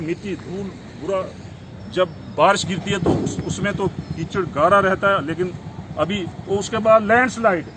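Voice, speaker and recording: A man making an impromptu, insistent political complaint as a former legislator, repeating and listing his points. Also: high voice. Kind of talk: impromptu outdoor political statement to camera. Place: outdoors beside a road. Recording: clear outdoor noise with passing vehicles.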